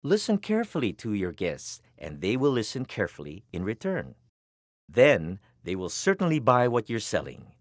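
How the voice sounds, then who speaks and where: A man delivering scripted instructional narration as the narrator of a training film, with deliberate, emphatic phrasing. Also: lowish voice, huge pitch swings, no echo, studio quality.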